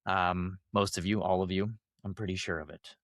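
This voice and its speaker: A man hosting a podcast, delivering a scripted monologue while sick and losing his voice, measured and emphatic. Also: low voice, flat delivery, no echo, studio quality. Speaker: podcast host.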